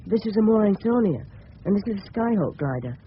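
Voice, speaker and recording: A man's voice: sits high, sing-song, a little fast. A man playing a polite, persistent English teenage boy with a breaking voice in a radio drama. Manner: polite, boyish, keen. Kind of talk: 1970s radio drama dialogue. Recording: muffled, faintly hissy old radio broadcast.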